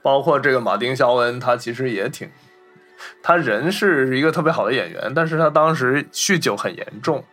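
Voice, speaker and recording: A man's voice: medium pitch, animated, brisk, a bit bright, faint music behind. A man, talking casually about films as a podcast host. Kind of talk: casual film discussion.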